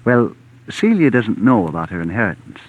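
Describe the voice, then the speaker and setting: An actor playing a calculating young secretary, clipped and self-assured, in a 1940s radio drama. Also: male voice, lowish voice, big pitch swings, moderate pace, breathy edge, full character voice. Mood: cool, scheming. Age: young adult. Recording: tinny narrow-band vintage radio recording.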